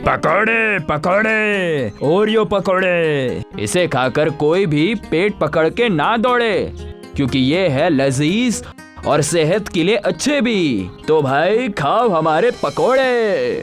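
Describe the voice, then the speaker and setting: A man's voice: high-pitched, lively intonation, takes it slowly, soft background music, exaggerated voice. A man playing a friendly young village fritter seller in a story, cheerful and theatrical in his talk. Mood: cheerful, selling.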